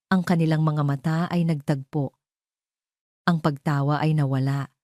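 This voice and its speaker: Female audio-drama narrator: very low pitch, slightly dark tone, scripted romance delivery. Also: no room sound, studio quality. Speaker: story narrator.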